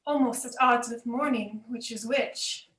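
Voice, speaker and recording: Woman acting an anxious, high-voiced queen. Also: steady tone, unhurried, a bit bright. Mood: weary, concerned. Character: anxious, controlled queen managing her unstable husband. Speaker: student performer. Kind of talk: Shakespearean radio play acting. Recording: echoey room.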